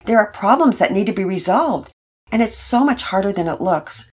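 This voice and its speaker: Woman as a gentle devout mother. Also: medium pitch, animated, moderate pace, slightly rough, voice pushed up. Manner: gentle, hesitant.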